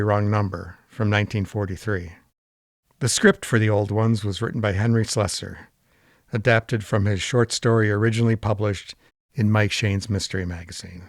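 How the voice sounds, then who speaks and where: A man's voice: lowish voice, no room sound, studio quality. A man reading a scripted, informative introduction as a series presenter.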